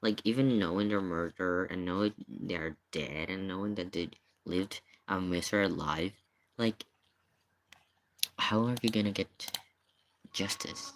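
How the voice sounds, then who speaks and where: A man's voice: low-pitched, measured pace. A man giving casual live commentary in an emphatic, questioning way, often repeating his points. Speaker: streamer reacting to paranormal investigation video.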